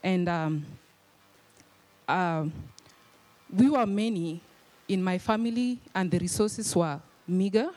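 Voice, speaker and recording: A young woman from Kenya with a Kenyan accent, telling her life story in church in a modest, hesitant way with soft fillers. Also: fairly low pitch, slow, pauses often. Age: young adult. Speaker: guest speaker. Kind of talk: personal testimony. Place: church hall.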